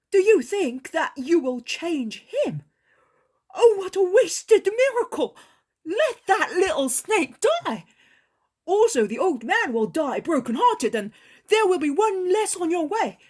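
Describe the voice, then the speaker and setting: A woman voicing an impulsive male disciple, exclaiming in a high, loud voice. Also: big pitch swings, slow, exaggerated voice. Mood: indignant.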